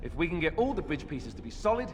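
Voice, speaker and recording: An actor playing a boyish, excitable young warrior in a video game, full of wonder. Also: male voice, on the high side, fast talker. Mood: delighted, excited. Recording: reverberant hall, loud background noise.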